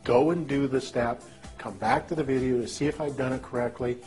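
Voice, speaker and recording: Middle-aged man, ordinary pitch, upbeat testimonial over soft music. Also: steady tone, a little fast. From Canada. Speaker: former pipe fitter, testimonial. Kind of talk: testimonial to camera. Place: indoors, high-rise room with window view.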